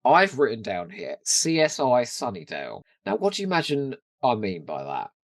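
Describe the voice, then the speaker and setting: A man's voice: mid-range voice, wildly swooping pitch, normal speed, no room sound. A man chatting casually and animatedly as a podcast co-host about a TV show, swearing now and then.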